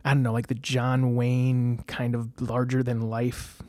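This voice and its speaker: A man in his thirties talks through his stories in a relaxed, rambling way, with plenty of fillers and restarts. His voice is mid-pitched, and the recording is dry and close. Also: unhurried, no room sound. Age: 30s. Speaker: guest. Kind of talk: casual interview storytelling.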